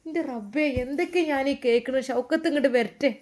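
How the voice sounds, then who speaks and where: A woman's voice: sits high, fast talker, a little mellow, natural voice. A woman voicing a fretful, pious older mother, full of exclamations. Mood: alarmed.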